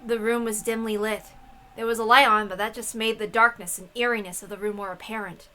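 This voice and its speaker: A woman giving a dramatic, theatrical narrated reading of a story in an expressive manner. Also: a little high, fairly quick. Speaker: reader of a visual novel.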